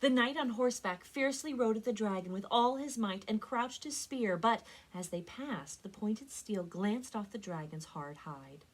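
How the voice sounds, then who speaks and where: A woman reads a story aloud expressively, with deliberate, dramatic phrasing and strong emphasis, like a librarian at story time. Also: medium pitch, expressive, poor audio. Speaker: librarian reading stories for a library program.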